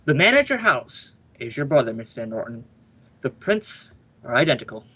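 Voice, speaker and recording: Man playing a husky, firm, polite official. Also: mid-range voice, very animated, normal speed, bright, forceful tone, exaggerated voice. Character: matter-of-fact fingerprint department official, firm and polite. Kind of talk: old time radio drama acting.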